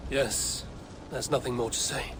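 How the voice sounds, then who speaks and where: A male actor playing a stoic, battle-weary Viking warrior leader in a video game, speaking gravely and solemnly. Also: medium pitch, steady tone, measured pace, noisy background, natural voice. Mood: somber. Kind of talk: dramatic video game acting.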